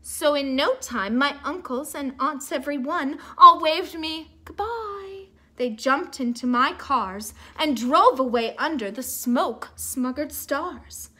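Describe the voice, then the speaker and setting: A woman reading a storybook aloud like a teacher to children, theatrical and expressive, with asides to her listeners. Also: high-pitched. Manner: theatrical, expressive, drawn-out emphasis.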